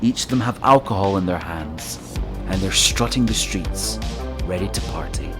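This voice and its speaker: A man's voice: low voice, normal speed. A man narrating an audio drama, reading out scene directions in a scripted style. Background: music.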